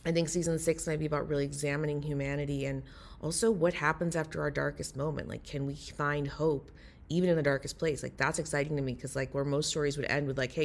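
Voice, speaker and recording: A woman's voice: deep voice, a little fast. A woman recording a listener voice message of enthusiastic, earnest fan commentary. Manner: excited, earnest.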